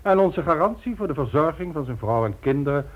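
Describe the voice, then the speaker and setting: An actor in a radio play voicing a suave, ruthless criminal mastermind, smug and polished, coolly condescending. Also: male voice, a little high, lively intonation, a little fast. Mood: coldly menacing. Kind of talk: Dutch radio play acting. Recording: dull lo-fi old radio recording.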